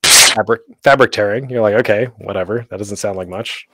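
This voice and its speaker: A man's voice: fairly low pitch, a little fast, very noisy. A man hosting an instructional talk in a casual, rambling way, often interrupting himself. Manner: informal, rambling, self-interrupting. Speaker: panel host teaching audio drama production. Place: close, dry home recording for an online panel.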